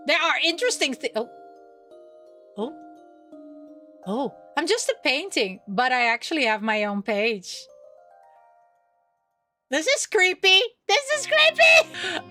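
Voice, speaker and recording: Female gaming host, higher voice, narrating visual novel text and chatting casually, faint music behind. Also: bright, forceful tone. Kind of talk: reading game text aloud with casual commentary.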